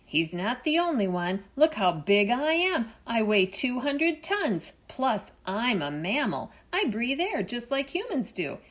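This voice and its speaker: A woman's voice: a little high, big pitch swings, voice pushed up. A woman voicing a proud whale who brags about its size, in a read-aloud storybook. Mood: boastful. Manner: bragging, slow and loud. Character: proud boastful giant whale.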